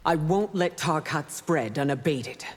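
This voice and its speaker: A woman's voice: sits low, animated, unhurried, breathy edge, heavily acted. A woman playing a stern, haughty fantasy ruler in a fighting game, speaking formally and imperiously. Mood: stern, cold. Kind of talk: fighting game character dialogue. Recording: long reverb, faint noise.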